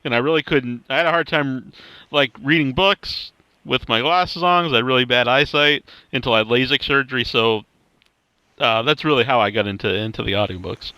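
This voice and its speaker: A man's voice: medium pitch, fairly quick, sharp tone, clear, resonant tone, dry recording, poor audio. A man hosting a podcast in a casual, hesitant way, often repeating himself and backtracking. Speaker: podcast host, interviewer. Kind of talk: casual chat.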